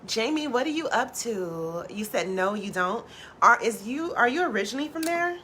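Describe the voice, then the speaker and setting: Soft low-voiced woman chatting away on a live stream, lots of "like", swears casually, faint hiss behind her. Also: lively intonation, normal speed. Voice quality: low natural voice. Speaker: tarot reader hosting a live stream.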